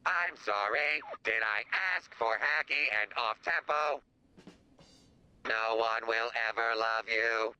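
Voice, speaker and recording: A man's voice: medium pitch, takes it slowly, bright, forceful tone, clear, resonant tone, low-quality recording. A man voicing a cruel, contemptuous robot drum teacher in a video game, harsh and withering. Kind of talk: video game character dialogue.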